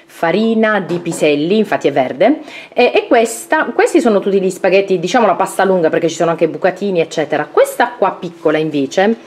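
A woman in her thirties with a slightly low voice talks through her tidied kitchen pantry. She speaks casually and hesitantly, filling gaps with little pauses, recorded up close on a camera at home. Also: lively intonation, a little mellow, slight background noise. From Italy. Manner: chatty, hesitant with fillers. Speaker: vlogger. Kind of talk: casual home tour narration.

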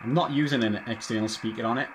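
A man's voice: medium pitch, fast talker, noisy background. A man commenting casually and wryly at his radio set at home. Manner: offhand, wry remarks. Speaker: radio amateur listening.

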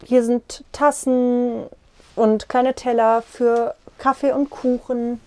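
A woman's voice: a little high, little pitch movement, takes it slowly, mellow. Young woman giving a caravan tour. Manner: friendly, explaining as she walks and points. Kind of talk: casual room tour. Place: inside a small caravan. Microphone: lapel mic.

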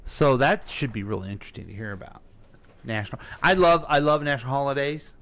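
A man's voice: mid-range voice, a little fast, a bit bright. A middle-aged man chatting casually as a podcast host who asks the questions, speaking into a close studio mic. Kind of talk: casual chat. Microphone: close studio microphone.

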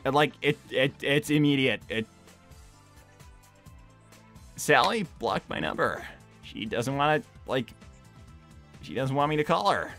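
A man voicing a brash young cartoon hedgehog hero, flustered and defensive, talking casually and haltingly in character. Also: medium pitch, animated, vocal fry, a bit bright, soft background music. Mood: flustered, evasive. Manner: halting, casual teenage slacker phrasing. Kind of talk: improvised in-character livestream Q&A.

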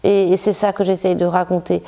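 A woman novelist from Morocco, seated in a bright living room, talking thoughtfully about her writing with frequent restarts and pauses. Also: on the low side, level tone, gravelly. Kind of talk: reflective interview monologue.

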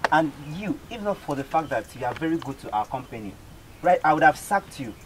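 A man playing a company CEO in a skit, measured at first and then indignant and scolding, recorded with background noise. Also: fairly high pitch, big pitch swings, low-quality recording. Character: stern middle-aged male company CEO. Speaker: company boss. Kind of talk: amateur skit acting.

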